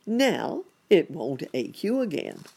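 A storyteller voicing a kindly male bear dentist from a storybook, calm and reassuring in manner. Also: mid-range voice, slow, creaky, husky, full character voice. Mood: pleased.

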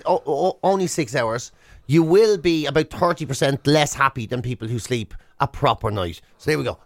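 A man with an Irish accent co-hosting a radio show in casual, teasing, animated chat. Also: mid-range voice, dry recording. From Ireland.

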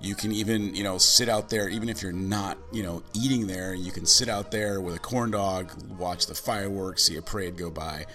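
A male podcast host with a very deep yet bright, punchy voice, scratchy and hoarse from a cold. He chats eagerly, leaning on fillers and restarts. Faint music plays underneath. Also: steady tone, moderate pace, hardly any pauses. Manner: chatty, enthusiastic, many fillers. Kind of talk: casual chat.

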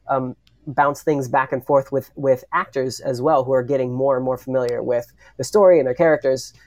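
A man speaking as a panelist in a casual discussion, in a halting, reflective way. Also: medium pitch, a little mellow, dry recording. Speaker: audio drama writer and panelist.

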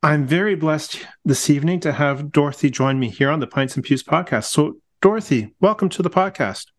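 A middle-aged man hosting a casual podcast, friendly and warm, often restarting his words and laughing gently. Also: a little high, animated, a little fast. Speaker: podcast host.

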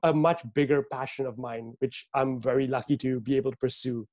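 A man's voice: mid-range voice, steady tone, a little fast, darkish tone, no room sound. A young man from Malaysia, a guest on an interview podcast, talking in an easygoing, agreeable way. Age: young adult. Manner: easygoing, agreeable, says "yeah" often. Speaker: guest, visual artist. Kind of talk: interview conversation.

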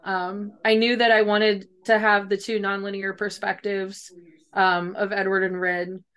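A woman's voice: medium pitch, flat delivery, unhurried, a bit bright, smooth. Chatty woman author in casual conversation. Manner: chatty, hedging, frequent "like" and "kinda". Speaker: guest author.

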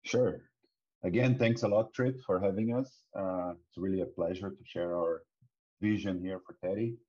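A low-voiced man with a Brazilian accent speaks in a mellow, dark tone. He talks through an interview answer haltingly, often restarting and repeating words. The audio sounds dull and lo-fi. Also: steady tone, slightly rough. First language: Portuguese. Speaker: startup co-founder, guest. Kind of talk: podcast interview.

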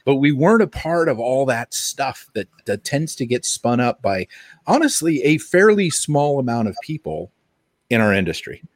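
A man's voice: medium pitch, very animated, normal speed, no room sound. A middle-aged man chatting casually as a podcast co-host, earnest and opinionated.

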